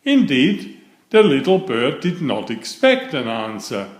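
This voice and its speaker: Slow, lively male storyteller in a roomy space. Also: mid-range voice. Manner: measured, expressive storytelling. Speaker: storyteller reading a children's book. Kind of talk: read-aloud children's story narration.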